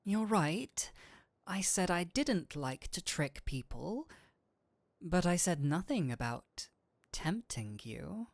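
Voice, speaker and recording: A man acts out a nerdy male incubus demon in an intimate audio roleplay. He speaks slowly and theatrically, teasing and coaxing with a kindly streak. The sound is dry, with no room around the voice. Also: sits high, very animated, no echo, exaggerated voice. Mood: seductive, teasing. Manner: coaxing, playful, theatrical switches between seductive and flustered.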